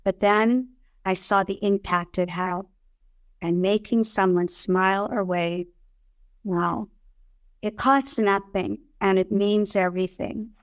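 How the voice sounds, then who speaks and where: A woman's voice: mid-range voice, fairly even intonation, takes it slowly, no echo. A woman giving a heartfelt spoken tribute. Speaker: speaker in a played tribute clip.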